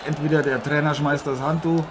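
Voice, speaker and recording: A man acts out a male radio boxing commentator in an audio drama. He is self-important and dramatic, stumbling and repeating himself as he calls the fight. A loud crowd and clear noise sit behind his voice. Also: a little high, flat delivery, brisk, natural voice. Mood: dramatic concern. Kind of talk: improvised radio sports commentary. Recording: noisy, with arena crowd ambience.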